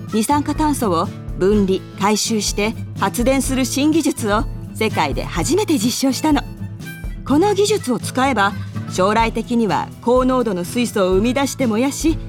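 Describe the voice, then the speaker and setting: Woman playing a senior office colleague, fairly high lively voice, friendly, composed yet bashful, radio drama, music behind. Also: lightly acted. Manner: friendly casual senior speech, earnest when explaining. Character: composed senior female colleague, evasive, bashful about love. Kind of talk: Japanese radio drama acting.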